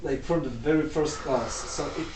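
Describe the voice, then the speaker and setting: A man from Moldova whose first language is Russian, talking in a casual conversation with many halting restarts and repeated words. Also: fairly high pitch, reverberant, far from the mic, background noise.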